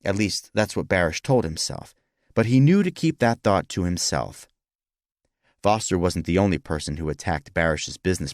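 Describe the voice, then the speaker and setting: A man's voice: deep voice, no echo, clean studio sound. A man reading scripted historical fiction as a dramatic audiobook narrator.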